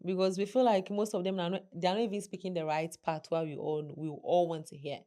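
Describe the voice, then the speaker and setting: Female guest, lowish voice, thinking aloud. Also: fairly even intonation, fast, dark tone. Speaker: panel guest. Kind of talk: casual panel debate. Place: studio with woven cloth backdrop. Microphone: studio mic on a stand.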